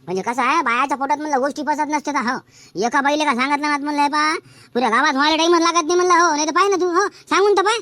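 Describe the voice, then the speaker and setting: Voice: high voice, very fast, sharp tone, dry recording. A performer voicing a fretful, distrustful cartoon villager who keeps questioning, with a rural Varhadi Marathi accent. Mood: cautioning. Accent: rural Maharashtra, Varhadi Marathi. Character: anxious, cautious villager in a comic cartoon. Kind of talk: comic cartoon dialogue.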